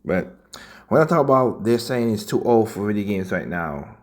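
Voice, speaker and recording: A man in his forties hosting a gaming channel, speaking in casual commentary, often rising to loud, emphatic outbursts. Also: fairly low pitch, lively intonation, moderate pace. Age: 40s. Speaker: channel host reacting to clips.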